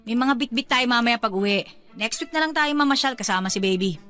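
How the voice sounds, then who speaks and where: A high, bright female voice acted by a woman plays a feisty young mother in a radio romance. She is sassy and quick to scold, denying any soft feelings. Music plays underneath. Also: animated. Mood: exasperated. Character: feisty young mother in denial about her love. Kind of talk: Filipino radio drama dialogue.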